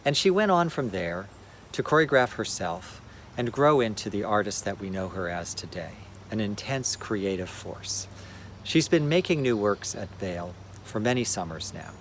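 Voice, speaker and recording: A man with a fairly low voice delivers a measured, formal introduction to a dance piece as festival director. His words are prepared and even, with faint outdoor traffic sounds behind him.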